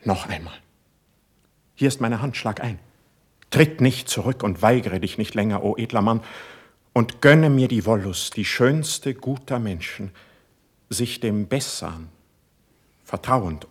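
A man's voice: fairly low pitch, expressive, a little slow, pauses often, slightly rough. An actor playing an ardent, proud and sensitive young male court poet in a classical verse radio play, speaking in a fervent, lofty way. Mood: ardent, pleading. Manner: fervent, lofty, declamatory delivery.

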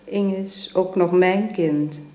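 An actress playing a bitter, jealous, ailing wife in a Dutch radio drama, speaking in a weary, curt, sarcastic way. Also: female voice, on the low side, fairly even intonation, slow, darkish tone. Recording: roomy, hissy old radio recording.

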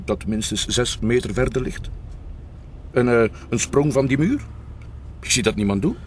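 A man's voice: mid-range voice, normal speed, a little hiss or hum, close to an everyday voice. Sceptical Flemish police inspector, played by a man. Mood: reasoning, sceptical. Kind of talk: naturalistic radio drama dialogue.

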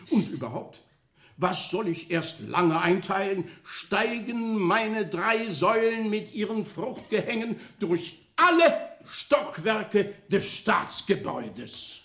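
A sardonic male orator in a radio play, pitched high and swinging theatrically up and down. He declaims in with mocking pomp, savoring each ornate phrase. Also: a bit bright, exaggerated voice. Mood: declamatory, mocking. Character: mocking male satirical orator praising gallows and thievery with ironic pomp.

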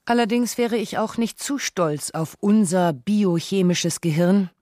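Woman, documentary narrator, soft mellow timbre, close dry sound, measured reflective delivery. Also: mid-range voice, dry recording. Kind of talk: scripted radio narration.

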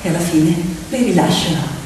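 A woman telling a story theatrically, expressive and ironic, sometimes slipping into sing-song declamation. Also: on the low side, moderate pace, background noise. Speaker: stage storyteller and actress. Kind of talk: theatrical storytelling with music, two-hander. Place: large reverberant performance space, distant mic. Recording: echoey hall from far off, piano music. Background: music.

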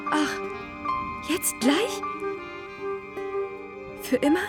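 A shy young girl, speaking in a high, plaintive voice. She is slow and hesitant, as in a radio drama about first love. Music plays loudly behind her. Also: sing-song, full character voice. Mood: heartbroken.

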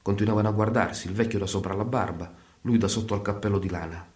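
A man's voice: fairly low pitch, fairly even intonation, quick pace. A man narrating radio theatre as a storyteller, colloquial and dramatic, in clipped short phrases. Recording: roomy, echoing sound.

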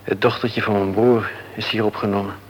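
A man's voice: fairly low pitch, level tone, a little fast, a little hiss or hum, close to an everyday voice. An actor playing a polite, mild-mannered man worried about his sick niece, in a radio play.

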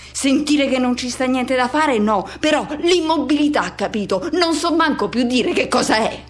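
Woman as restless loner, high-voiced radio monologue. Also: natural voice. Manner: colloquial self-questioning inner monologue, broken short phrases. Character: restless solitary middle-aged man revisiting his hometown.

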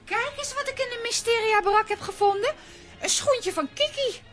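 A woman's voice: very high-pitched, moderate pace, fairly bright tone, soft background music. An actress playing a plucky, eager young girl adventurer in a children's radio play. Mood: eager, determined.

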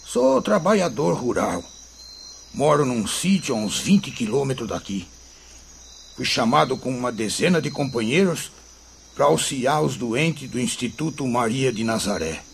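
An actor playing a humble, devout country labourer and father in a radio drama, with a rustic Brazilian accent and warm folksy manner. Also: mid-range voice, big pitch swings, unhurried, creaky. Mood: kindly, devout. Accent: rural Brazilian. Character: humble, devout rural labourer, kindly father spirit visitor. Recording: faint noise with crickets behind.

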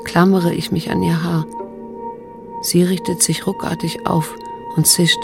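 An actress playing a wounded, restrained middle-aged daughter who narrates in a radio drama, measured and introspective. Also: female voice, fairly low pitch, flat delivery, gravelly, music in the background. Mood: sober recollection.

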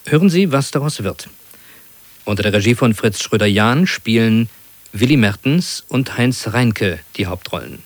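A man reading a scripted introduction to a radio play as announcer, in a measured, formal manner. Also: medium pitch, expressive, no echo.

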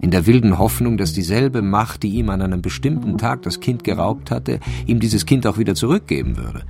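A man giving measured, composed literary narration for a radio crime drama. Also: on the low side, animated, gravelly, darkish tone, soft background music.

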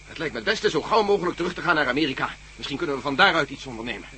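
An actor playing a practical male companion in a radio play, quick and full of questions. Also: on the high side, expressive, bright, forceful tone, slight background noise. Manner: rapid, practical.

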